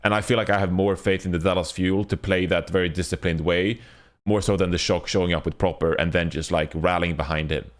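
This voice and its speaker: A man's voice: low-pitched, steady tone, brisk. A man hosting a casual esports panel discussion, talking in a blunt, rambling, profane way. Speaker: podcast host.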